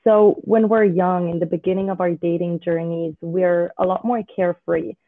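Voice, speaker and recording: A woman from Switzerland, a dating coach, explaining her ideas in a measured way as a podcast guest on a remote call. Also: lowish voice, steady tone, dry recording. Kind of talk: podcast interview. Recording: tinny remote call audio.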